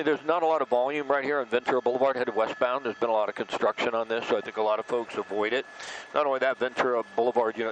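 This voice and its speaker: A quick-talking man with a mid-pitched voice reports live from a news helicopter. He explains and speculates as he goes, over a light layer of aircraft noise. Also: fairly bright tone, poor audio. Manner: explanatory, speculating as events unfold. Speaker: helicopter news reporter covering a police pursuit. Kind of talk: live breaking-news commentary.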